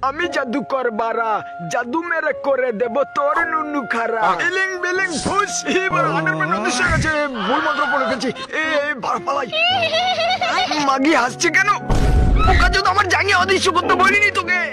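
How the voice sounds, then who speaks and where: A man voicing a cheeky, foul-mouthed young cartoon character, exclaiming in crude slang with a pitched-up sound. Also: brisk, loud music behind the voice. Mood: boastful. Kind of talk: exaggerated comedy meme cartoon voice acting.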